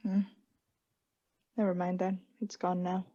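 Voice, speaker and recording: A young woman playing a guilty, unhinged caretaker in a radio play, breathy and often whispering, with halting, insistent self-justification. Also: on the low side, little pitch movement, dark tone, muffled recording. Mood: uneasy, distracted. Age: young adult. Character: guilty, unhinged caretaker, anxiously feigning calm politeness. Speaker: student actor.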